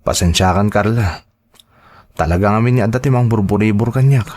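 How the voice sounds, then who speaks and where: An actor playing a troubled, reluctant young son who pleads, in an audio drama. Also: male voice, lowish voice, fairly quick, a little mellow, close to an everyday voice. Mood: troubled, apologetic.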